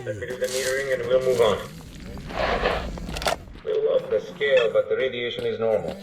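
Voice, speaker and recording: A man playing a nervy, gruff field researcher in a video game, with tense, animated delivery. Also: medium pitch, moderate pace, close to an everyday voice. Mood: tense, focused. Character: male field researcher, nervy, wary, gruff expedition companion. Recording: roomy, noisy, distant game-scene sound.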